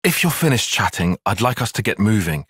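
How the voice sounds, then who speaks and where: An actor playing an earnest, bookish young soldier-tactician in a video game, speaking in measured, formal, analytical phrases. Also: male voice, lowish voice, sing-song, fairly bright tone, slightly rough, no echo, clean studio sound, exaggerated voice. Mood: measured, reserved. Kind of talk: video game cutscene voice acting.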